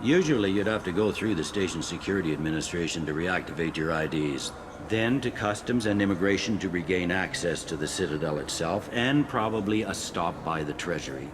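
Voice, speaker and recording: A man's voice: sits low, brisk, close to an everyday voice. A man playing a wry, pragmatic police captain on a space station in a video game. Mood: wry, helpful. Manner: wry, blunt, matter-of-fact. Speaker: security captain character. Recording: clearly audible ambient noise.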